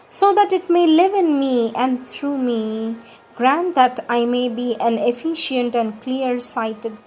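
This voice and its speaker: Slow, reverent woman reading prayers. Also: on the high side, slight background noise. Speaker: reader of devotional prayers. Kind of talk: devotional audiobook reading.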